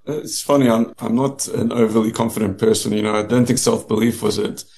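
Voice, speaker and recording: Gravelly low-voiced man. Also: steady tone, brisk, a little mellow. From New Zealand and South Africa. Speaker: guest, novelist. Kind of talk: casual interview storytelling.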